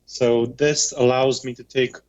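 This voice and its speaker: A man's voice: medium pitch, flat delivery, a little slow. A man from Moldova with an Eastern European accent, explaining things precisely as an interview guest over a slightly dull connection. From Moldova, former Soviet Union. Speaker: interview guest, history professor. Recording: slightly dull remote call sound.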